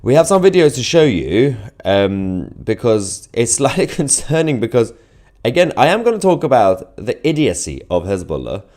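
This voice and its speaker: A man's voice: medium pitch, very animated, normal speed, a little mellow. A man hosting a live news commentary show, speaking to viewers in a sardonic, mocking and emphatic way. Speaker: host of online political news show.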